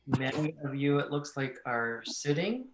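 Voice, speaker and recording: A man leading a guided meditation, giving gentle, measured instructions with long pauses. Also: mid-range voice, lively intonation, heavy background noise. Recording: echoey room.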